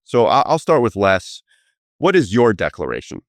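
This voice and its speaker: Low-voiced male podcast host, dry mic. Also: wildly swooping pitch, moderate pace, no echo. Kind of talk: conversational interview.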